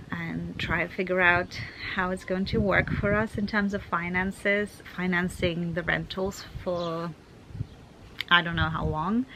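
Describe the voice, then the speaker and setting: Chatty young woman vlogging, low voice. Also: background noise. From Ukraine. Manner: chatty, thinking aloud with fillers and restarts. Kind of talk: casual vlog chat to camera.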